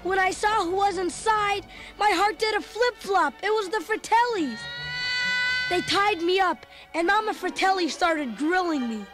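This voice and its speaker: An actor voicing an excitable young boy who narrates a storybook read-along in an earnest, dramatic manner. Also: extremely high pitch, a little slow, music in the background. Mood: breathless dismay.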